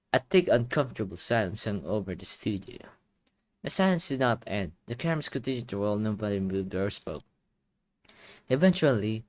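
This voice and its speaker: A man doing playful game commentary, reading story text aloud and reacting with exclamations, his voice a little tired and strained. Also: mid-range voice. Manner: animated, exclaiming reactions between read passages. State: voice tiring and strained. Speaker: gaming video creator.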